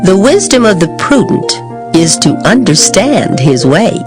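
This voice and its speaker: Scripture reader, mid pitch, very animated intonation, music playing underneath. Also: unhurried. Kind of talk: dramatized scripture reading. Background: background music.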